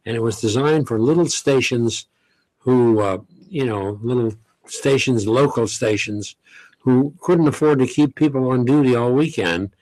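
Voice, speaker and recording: An older man reminisces in a dry, close-miked radio studio. His speech is easy and anecdotal, in a middle register, dotted with soft chuckles. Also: medium pitch, unhurried, no room sound, low-quality recording. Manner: chatty, anecdotal, chuckles often. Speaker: longtime radio show host, guest. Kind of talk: relaxed reminiscing studio talk. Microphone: studio mic, headphones.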